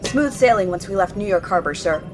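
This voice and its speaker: A woman's voice: mid-range voice, lively intonation, a little fast, music in the background, close to an everyday voice. An actress playing a dutiful female naval officer, formal and matter-of-fact, in an audio drama. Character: crisp, deferential female naval junior officer.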